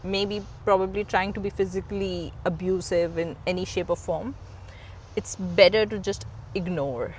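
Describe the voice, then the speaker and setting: A woman's voice: fairly low pitch, steady tone, normal speed, low-quality recording. Encouraging woman interviewer, faint noise. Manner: encouraging, agreeing often, laughs easily. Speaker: interviewer, host. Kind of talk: casual interview conversation.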